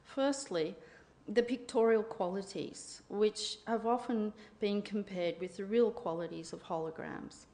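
A woman at a conference lectern, reading her paper in a formal, measured way. In the discussion that follows she turns effusive and grateful, pitched in a middle range. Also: dark tone. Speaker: conference speaker. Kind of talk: reading a paper, then answering questions.

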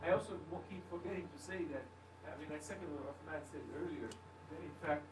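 Man in a meeting, slightly high voice, repetitive and explanatory as he lays out the group's aims. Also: fast, background noise. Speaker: founder of the network. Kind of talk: group discussion.

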